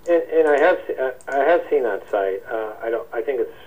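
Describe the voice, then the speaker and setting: A man calling in by phone to ask questions, speaking in a measured, hesitant way. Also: mid-range voice, lively intonation, a little mellow. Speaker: caller. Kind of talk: asking questions on a call-in. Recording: phone call with faint noise.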